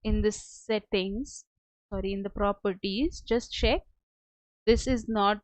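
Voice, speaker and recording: A woman working as a software trainer, giving a step-by-step screen tutorial in a hesitant, halting way. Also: medium pitch, fairly even intonation, no room sound. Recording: dull lo-fi close dry audio.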